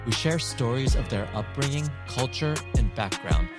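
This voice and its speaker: A man's voice: mid-range voice, lively intonation, normal speed, over loud music. A man hosting a podcast, introducing the show and putting questions to his guest. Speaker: podcast host.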